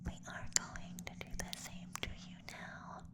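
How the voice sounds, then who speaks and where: A man voicing a playful young alien abductor in a whispered ASMR roleplay, speaking softly in a teasing, clinical manner. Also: unhurried, natural voice. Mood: pleased, clinical.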